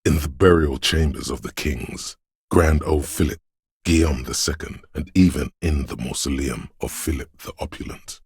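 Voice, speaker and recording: An actor playing the solemn, brooding spirit voice of a city, narrating a video game in a husky, poetic, ominous way. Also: male voice, no echo, studio quality, heavily acted. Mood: solemn, haunting. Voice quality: very deep, gravelly, husky.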